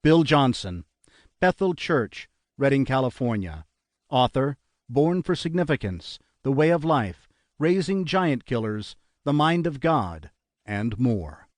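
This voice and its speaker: A man's voice: medium pitch, very animated, slow pace, no echo. Earnest male audiobook narrator, dry close sound. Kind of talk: scripted narration.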